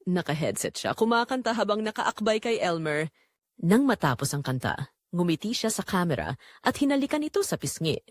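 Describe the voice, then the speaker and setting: A woman with a Filipino accent giving an expressive, measured, scripted narration of a dramatic story. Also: fairly low pitch, no echo, clean studio sound.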